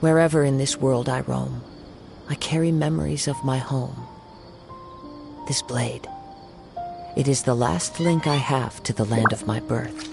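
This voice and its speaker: A man voicing a serene young wandering swordsman who misses home, speaking in a measured, poetic way in a video game. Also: mid-range voice, gravelly. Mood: wistful, serene. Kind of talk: scripted game character lines. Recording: loud music behind the voice. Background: loud game music.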